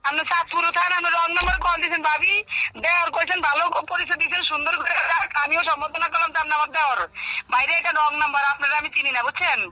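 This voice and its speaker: Very high, rapid-fire Bangladeshi woman on phone. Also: fairly even intonation. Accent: Bangladeshi regional. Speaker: call recipient. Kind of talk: casual phone conversation. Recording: phone call with music behind.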